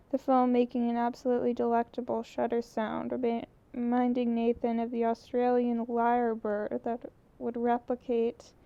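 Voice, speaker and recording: A woman narrating a novel in a measured, even delivery with occasional slips. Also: a little high, vocal fry, dry recording. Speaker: audiobook narrator. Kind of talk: novel reading. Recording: muffled lo-fi recording.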